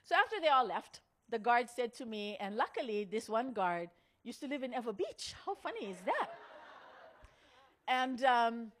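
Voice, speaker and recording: Earnest woman, higher-pitched voice. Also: big pitch swings, a bit bright. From Hawaii. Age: middle-aged or older. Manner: earnest, deliberate, dramatic pauses and emphasis. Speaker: speaker at a women's conference. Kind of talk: motivational storytelling speech to an audience.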